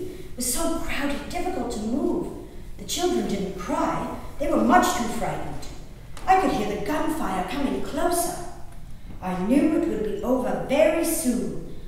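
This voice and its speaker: A woman performing a historical diary as a resolute, haunted gentlewoman recalling peril at sea, in theatrical, measured phrases with dramatic pauses. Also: a little high. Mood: urgent, frightened, then grave, tense. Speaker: stage performer. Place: live reading in a reverberant hall. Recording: distant echoey hall, noisy room recording.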